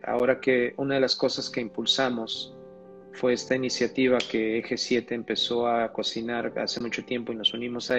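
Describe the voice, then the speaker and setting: A man's voice: medium pitch, muffled recording. A man as guest in an online conversation, talking enthusiastically and repeating his phrases. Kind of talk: casual live-stream conversation.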